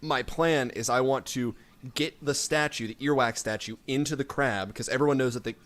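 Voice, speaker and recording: A man playing an improvised tabletop role-playing game, thinking aloud in a halting, casual way. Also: medium pitch, a bit bright. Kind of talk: improvised comic role-play chat.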